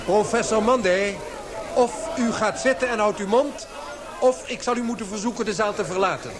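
A man's voice: high-pitched, moderate pace, crowd noise, noisy background, close to an everyday voice. A man acting a formal, courteous but firm British society chairman in a radio play, speaking in an oratorical manner. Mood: firm, stern.

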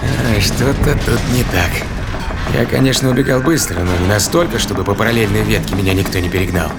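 A man's voice: lowish voice, a little fast, loud music behind the voice, close to an everyday voice. An actor playing a hunted man in a science fiction audio drama, agitated and sardonic, talking to himself in exclamations. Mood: wary, puzzled. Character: hunted man, desperate, self-reproaching, sardonic sci-fi hero.

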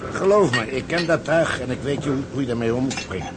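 A man's voice: medium pitch, brisk, close to an everyday voice. Man acting a cocksure, stubborn ship captain, noisy ambience. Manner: cocksure, rough-tongued. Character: commanding, stubborn, swaggering male salvage ship captain. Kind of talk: Dutch radio drama acting.

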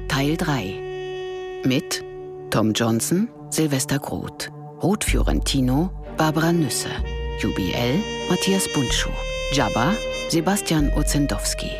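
An announcer formally reading the title and credits of a radio drama in a measured way. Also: mid-range voice. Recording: loud music under the voice.

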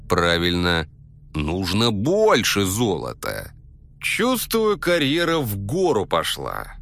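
A man's voice: medium pitch, vocal fry, fairly bright tone. A man narrating a comic first-person story in coarse, slangy street language.

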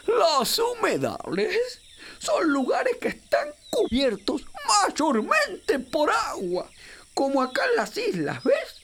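A chubby, furry capybara from a children's radio play, a knowing and excitable river guide. The voice is high and swoops wildly, stretching words out slowly in a theatrical Rioplatense Argentine accent. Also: female voice, slight background noise, full character voice. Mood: proud, explaining. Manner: theatrical, exclamatory, explains patiently. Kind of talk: children's radio drama acting.

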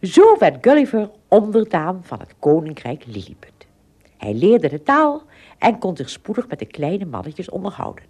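A woman narrates a radio play as a storyteller. Her husky voice sits slightly low and moves very widely in pitch, giving the narration a dramatic, expressive shape. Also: moderate pace.

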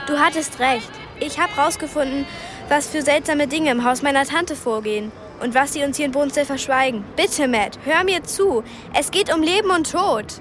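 A woman plays a thirteen-year-old girl with a high, youthful voice in a radio drama. She is earnest and determined, urgent and direct, with music behind her. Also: moderate pace, lightly acted. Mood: pleading. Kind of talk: radio play acting.

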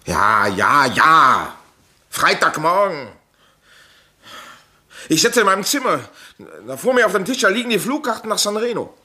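Husky, agitated lover under suspicion. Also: male voice, high-pitched, very animated, pushed and bright, full character voice. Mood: anguished. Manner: emotional, urgent, halting confession. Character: agitated playwright, secret lover of murdered woman. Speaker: actor in a crime radio play. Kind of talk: German crime radio drama acting.

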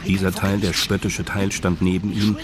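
A man's voice: deep voice, flat delivery, normal speed, background noise. A man reading scripted narration for a radio crime drama.

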